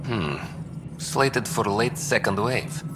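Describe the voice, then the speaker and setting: A dry-witted, reflective sci-fi officer. His voice is low, deliberate and deadpan, yet moves widely in pitch. Clear noise runs behind him. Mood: dry, formal. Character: dry-witted, reflective male cryo bay officer, former teacher. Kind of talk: video game dialogue.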